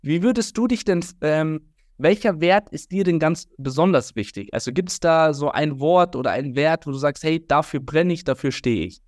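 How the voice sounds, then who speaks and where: A man's voice: on the high side, normal speed, a little mellow, no echo, clean studio sound. Chatty man hosting a podcast. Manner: friendly, rambling, many fillers. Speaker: podcast host. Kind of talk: casual interview chat.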